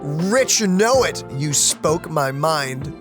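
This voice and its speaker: A man's voice: on the high side, drawn-out words, music in the background. A man hosting a let's play, playfully reading game dialogue aloud and adding casual commentary. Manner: playful, animated.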